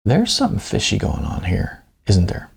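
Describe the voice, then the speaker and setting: A man's voice: deep voice, huge pitch swings, moderate pace, gravelly, husky, heavily acted. A man voicing a folksy, wise older small-town businessman in audiobook dialogue, stubborn but tolerant, repeating himself hesitantly. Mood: suspicious. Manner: folksy, hesitant repetitions, ain't.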